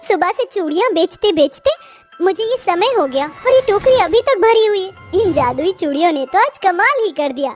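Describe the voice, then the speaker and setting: Woman acting a high-voiced, kind bangle-seller mother. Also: animated, normal speed, faint music behind. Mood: pleased. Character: kind, emotional young mother selling bangles.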